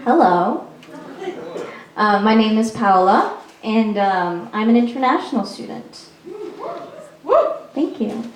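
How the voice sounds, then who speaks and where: A woman's voice: on the high side, animated, takes it slowly, pauses often, recorded from a distance, a little hiss or hum. A young woman from Peru performing a stand-up comedy set in a chatty, self-mocking way, with frequent ums. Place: small performance venue. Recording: echoey venue recorded from afar.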